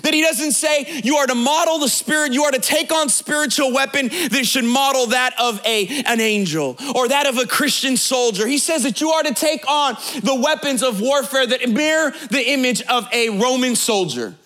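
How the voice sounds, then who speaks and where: A man's voice: very high-pitched, moderate pace, hardly any pauses, sharp tone. A man in his late thirties preaching a sermon as a pastor, conversational and joking with the congregation, building points through rhetorical repetition. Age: 30s. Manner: conversational preaching with jokes and direct address; rhetorical repetition, sometimes sing-song emphasis.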